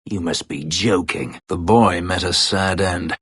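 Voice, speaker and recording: An actor voicing a brooding, bitter escaped slave, an elf warrior in a fantasy game, with a husky, gravelly voice and a terse, guarded, formal manner. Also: male voice, low voice, unhurried, a bit bright, no echo, studio quality, heavily acted. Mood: sardonic, bitter. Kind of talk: dramatic fantasy game voice acting.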